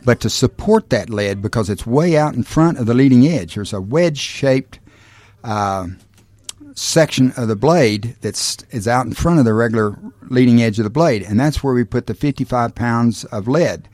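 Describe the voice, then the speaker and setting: A man giving a measured technical explanation in an interview, stressing the figures. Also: medium pitch, lively intonation, a little mellow, dry recording. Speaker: aircraft designer being interviewed.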